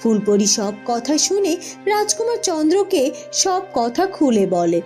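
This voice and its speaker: Lively female storybook narrator. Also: sits high, measured pace, soft background music.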